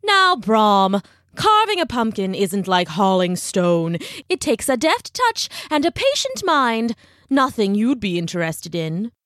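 A woman's voice: a little high, lively intonation, normal speed, no room sound, studio quality, lightly acted. A woman voicing a pompous, condescending male schoolteacher who lectures in a storybook tale.

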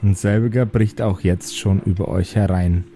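A man's voice: low voice, steady tone, moderate pace, warm tone, soft background music. A man narrating an improvised tabletop audio drama as its game master.

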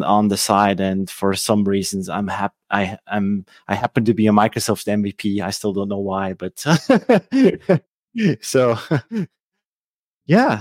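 Swiss German-accented male guest, low pitch, dry close mic, relaxed halting delivery. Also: no room sound. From Switzerland. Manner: hesitant, many fillers and restarts. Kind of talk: casual tech chat.

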